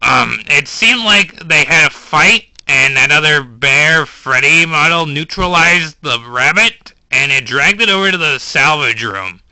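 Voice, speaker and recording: A man voicing a weary male pizzeria owner who talks slowly in a dazed mumble. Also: fairly high pitch, lively intonation, pushed and bright, dry recording, heavily acted, poor audio. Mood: groggy.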